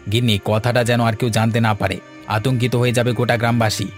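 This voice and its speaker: A man's voice: mid-range voice, flat delivery, fast, faint music behind, lightly acted. Man acting earnest village man in a cartoon. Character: earnest village fisherman and his worried neighbours, adult men. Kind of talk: Bengali cartoon voice acting, folk-tale dialogue.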